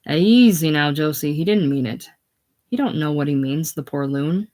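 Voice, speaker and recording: A reader voicing a gruff old farmer who mocks and jeers loudly. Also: medium pitch, lively intonation, normal speed, close to an everyday voice. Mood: grim. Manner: loud, teasing, contemptuous. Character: gruff old Irish farmer, mocking and jeering.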